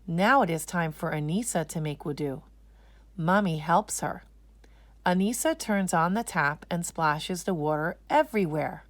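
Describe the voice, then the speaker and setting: A woman's voice: lowish voice, a little slow, no echo. A woman narrating a children's storybook as a read-aloud.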